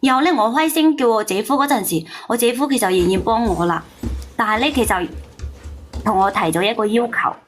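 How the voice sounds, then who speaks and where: High-pitched, defensive woman. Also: fairly quick. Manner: defensive, self-justifying, insistent. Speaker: caller on a story call-in show. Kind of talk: heated personal confession.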